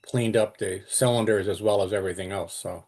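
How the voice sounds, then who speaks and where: Halting man with a lowish voice. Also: fairly even intonation, a loud crowd. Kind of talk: casual account on a group call.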